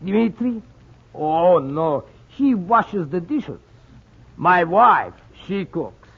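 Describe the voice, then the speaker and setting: An actor playing a courteous Russian restaurant owner with halting, formal English and a Russian accent, in 1940s radio style. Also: male voice, sits high, sing-song, gravelly, breathy edge, full character voice. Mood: obliging. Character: slow, courteous Russian immigrant restaurant owner, muddled with figures. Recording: muffled, thin 1940s radio broadcast.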